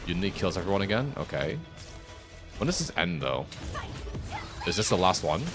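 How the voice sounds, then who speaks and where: Low-voiced, animated guy streaming a game. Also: normal speed. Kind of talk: casual live gameplay commentary. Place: home office. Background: game music.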